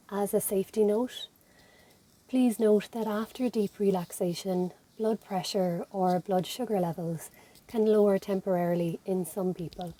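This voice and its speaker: A woman with an Irish accent leading a guided meditation as an instructor, speaking in a gentle, soothing, unhurried manner. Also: medium pitch. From Ireland. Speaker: physiotherapist and yoga instructor leading relaxation.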